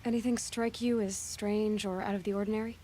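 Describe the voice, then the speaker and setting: A woman's voice: mid-range voice, fairly even intonation, a little fast, warm tone, a little hiss or hum, natural voice. A woman playing a capable young tracker heroine in a video game, direct and inquiring. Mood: calm, curious. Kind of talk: video game character dialogue.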